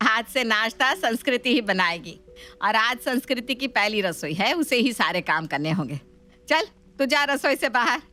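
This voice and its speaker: A woman's voice: on the high side, animated, moderate pace, sharp tone. A woman voicing an authoritative middle-aged mother-in-law in a household story, commanding and matter-of-fact. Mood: firm.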